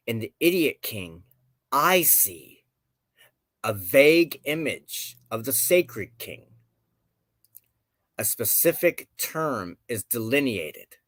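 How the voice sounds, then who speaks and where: Slow, animated man, dry close sound. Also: medium pitch, no room sound. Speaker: show host. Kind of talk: reflective commentary on folklore and myth.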